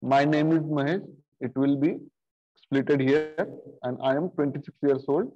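Slow, halting male teacher. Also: a little high, steady tone, mellow. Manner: slow, halting, many fillers and repeated "okay". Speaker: programming teacher. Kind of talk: screen-share coding lesson. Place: video call with screen share. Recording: dull lo-fi sound.

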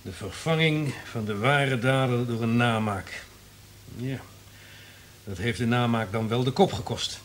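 An actor in a Dutch radio play playing a smug, calculating, cynical radio network executive. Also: male voice, mid-range voice, unhurried, fairly bright tone, slight background noise, natural voice. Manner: self-satisfied, calculating.

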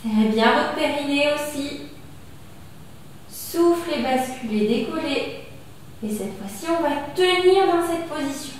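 A French woman in her thirties leading a gentle exercise class, calmly cueing each breath and movement as she does the exercise on a mat. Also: sits high, a little slow, pauses often, a little hiss or hum. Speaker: fitness instructor. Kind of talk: guided exercise class instruction. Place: small gym studio with wall bars, indoors. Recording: echoey room from far off.

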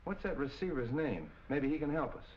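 A man acting as a hard-nosed police captain in an old 1940s movie. He talks rapidly and to the point. The sound is dull, with faint hiss. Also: fairly high pitch, lively intonation, natural voice. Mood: brisk. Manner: terse, no-nonsense. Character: brisk, businesslike male police captain. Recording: muffled, distant 1940s film soundtrack with hiss.

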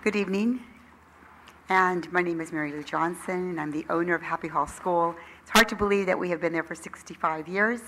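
A woman's voice: lowish voice, a little fast, heavy background noise. An older woman who owns a nursery school, speaking in a friendly, slightly hesitant way at a lectern microphone in a council chamber. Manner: friendly, inviting, a little hesitant. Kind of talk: public comment at a council meeting.

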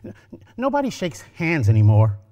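Man reading as a soft, halting, childlike young man, stammering, pitched up. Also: huge pitch swings. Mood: sad.

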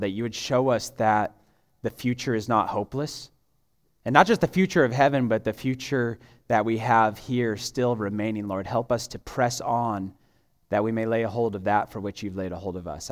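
A man in his thirties preaching a sermon on a church stage, moving from a quiet prayer to emphatic, climbing preaching. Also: fairly low pitch. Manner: prays softly, then preaches with rising, emphatic intensity. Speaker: pastor. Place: church stage, large auditorium. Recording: long hall reverb.

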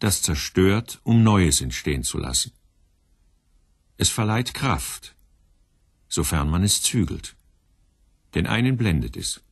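A man narrating a scripted radio programme in a husky voice. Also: sits low, no room sound, studio quality.

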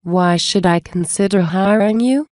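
A woman reading English example phrases for a language lesson, saying many of them twice in a measured way. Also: medium pitch, a little mellow, no echo, clean studio sound. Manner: measured, repeats each phrase slowly. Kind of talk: slow scripted phrase reading.

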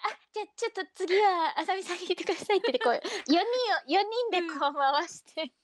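A woman co-hosting a radio show in polite casual chat, with expressive, exclaiming reactions. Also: very high voice, quick pace, dry recording, clean studio sound.

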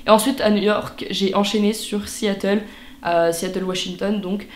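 A woman's voice: medium pitch, fairly even intonation, normal speed. Chatty teen girl in an echoey room. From France. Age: teens. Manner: chatty, frequent fillers and restarts. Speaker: vlogger. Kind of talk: casual vlog to camera. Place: bedroom, indoors.

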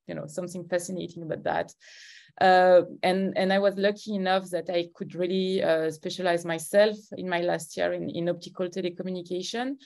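Lower-pitched Frenchwoman presenting. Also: a little mellow. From France. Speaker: conference presenter. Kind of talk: informal spoken presentation. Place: remote video call.

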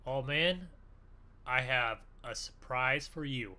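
A man bantering in an improvised gaming roleplay, excitable and full of exclamations, often urging others on. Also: medium pitch, slow pace. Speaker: gaming video creator playing a truck roleplay. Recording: faint background noise.

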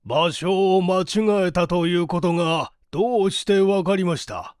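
A man voicing an anxious, excitable country gentleman in a dramatic story reading, with an agitated, emphatic delivery. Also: high voice, unhurried, clear, resonant tone, no echo, studio quality. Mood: excited.

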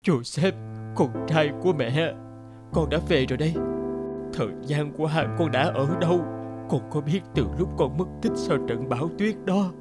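A man's voice: on the high side, normal speed, lightly acted. A man voicing a grieving, suspicious old mother in a cartoon fairy tale, plaintive and dramatic. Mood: grieving, emotional. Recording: loud music behind the voice.

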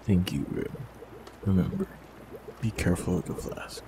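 Man, very low gravelly voice, calm and gentle warlock read, lively pitch, noticeable hiss. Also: moderate pace. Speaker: warlock in an audio roleplay. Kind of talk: scripted audio roleplay, speaking to the listener.

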